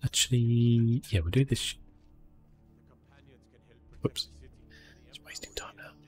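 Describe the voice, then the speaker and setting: A man giving soft, hushed ASMR gameplay commentary in a relaxed, unhurried manner. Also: medium pitch, soft background music. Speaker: gaming streamer, host.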